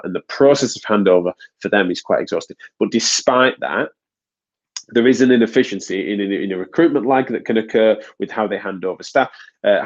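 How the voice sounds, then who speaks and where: A man hosting a podcast, a physiotherapist talking casually in a nasal, stuffed-up voice, rambling with frequent restarts. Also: on the low side. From United Kingdom. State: congested, stuffy nose. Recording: dull lo-fi recording.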